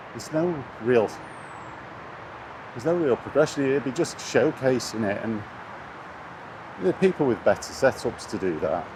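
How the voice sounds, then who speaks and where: A man giving a casual, rambling vlog chat in a hesitant, laid-back way, recorded on a handheld camera outdoors. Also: lowish voice. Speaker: gaming YouTuber. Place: outdoors, walking near traffic. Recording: noisy outdoor recording with urban traffic. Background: outdoor urban and vehicle noise.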